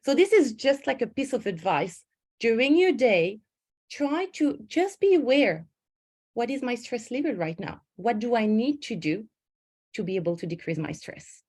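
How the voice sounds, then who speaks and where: A woman's voice: on the high side, big pitch swings, moderate pace, darkish tone. A woman, a stress management coach giving an online webinar, speaking in an earnest, personal way and often correcting herself mid-sentence. She speaks into a home computer mic on a video call. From Switzerland.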